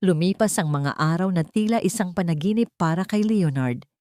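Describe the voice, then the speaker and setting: A woman narrating a drama audiobook in an expressive storytelling manner. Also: on the low side, normal speed, no room sound, studio quality.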